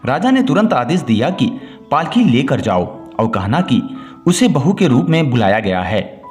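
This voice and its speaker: A man telling a scripted moral folk tale as a storyteller, in the measured way of read narration. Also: mid-range voice, very animated, a little mellow. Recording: music bed under the voice.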